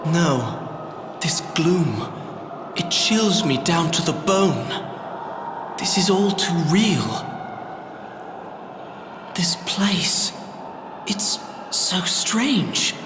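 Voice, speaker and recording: A noble young prince in a fantasy game, high-voiced and unhurried. His delivery is earnest, dramatic and archaic, with wide swings in pitch, echoing over music. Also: expressive, noisy background, full character voice. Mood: bewildered, gloomy. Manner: formal archaic diction, dramatic. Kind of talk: theatrical fantasy game acting. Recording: roomy reverberant voice over music.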